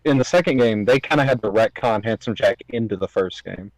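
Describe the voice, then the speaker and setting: A man chatting casually as a podcast guest, with an excitable, playful way of talking. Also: on the low side, fairly quick, no room sound, low-quality recording. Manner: excitable, playful, prone to sudden outbursts. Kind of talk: casual chat.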